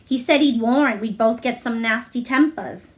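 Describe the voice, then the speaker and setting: Woman acting a frank, high-voiced young girl. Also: normal speed, natural voice. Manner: plain-spoken, childlike. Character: frank, earnest young girl, solemn and snappish.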